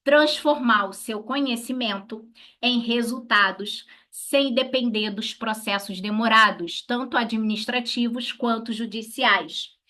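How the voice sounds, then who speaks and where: A woman's voice: on the high side, unhurried, bright, forceful tone. A Brazilian woman giving an instructional talk as a lawyer to colleagues, didactic, posing questions and answering them herself. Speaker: lawyer teaching a class.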